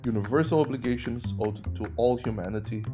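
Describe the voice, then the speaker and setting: A man with a Brazilian accent, a native Portuguese speaker, hosting a podcast and explaining legal ideas in measured, teacherly narration. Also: mid-range voice, over music. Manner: measured, didactic. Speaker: podcast host and human rights lawyer.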